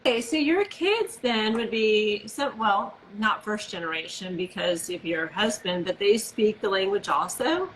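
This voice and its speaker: A woman's voice: medium pitch, lively intonation, measured pace, few pauses, fairly bright tone, slight background noise, rough recording. A woman hosting a casual live video interview, talking with gushing enthusiasm. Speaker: host.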